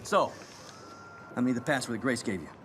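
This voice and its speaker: An actor playing a manic, foul-mouthed middle-aged American conspiracy theorist in a video game, rambling and excitable. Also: male voice, medium pitch, fast talker, background noise, close to an everyday voice. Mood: suspicious, confrontational. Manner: rambling, excitable, sweary, emphatic. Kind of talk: video game cutscene acting.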